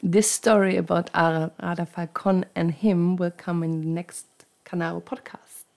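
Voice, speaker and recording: A woman co-hosting a podcast, calmly prompting her co-host with questions and closing the episode. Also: lowish voice, moderate pace, creaky. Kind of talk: casual chat and episode sign-off.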